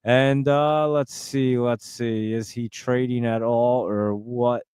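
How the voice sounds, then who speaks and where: A man's voice: medium pitch, fairly even intonation, slow pace, darkish tone, clean tone, no echo, studio quality. A man in his forties with a New Jersey accent, rambling casually as he hosts a live stream and answers viewer comments. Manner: rambling, chatty, lots of fillers and restarts. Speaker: livestream host.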